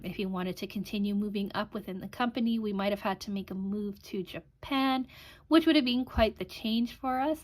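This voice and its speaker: A woman in her thirties from Canada talks casually to her viewers in a chatty, rambling way, often pausing on an um. Her voice sits a little high. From Canada (Alberta). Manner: chatty, rambling with frequent ums. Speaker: crafting channel host. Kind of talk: casual vlog chat.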